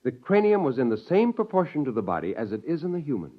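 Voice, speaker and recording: An American actor playing a middle-aged, gleefully eccentric scientist in a theatrical 1950s radio drama. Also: male voice, mid-range voice, sing-song, quick pace. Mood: narrating, excited. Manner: theatrical, chuckling, self-amused. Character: eccentric, gleeful scientist plotting a joke on mankind. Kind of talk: 1950s radio drama acting with first-person narration. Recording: dull, lo-fi 1950s radio recording.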